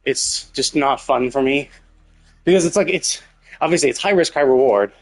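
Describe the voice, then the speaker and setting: A man doing casual live game commentary, speculating and thinking out loud, with some casual swearing. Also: mid-range voice, moderate pace. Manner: casually profane. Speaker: game livestreamer.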